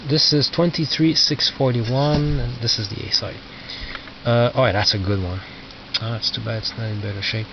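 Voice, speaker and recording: A man giving casual, unscripted commentary as a record collector, halting and muttering asides to himself. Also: mid-range voice, steady tone. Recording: lo-fi, noisy, distant mic with clicks.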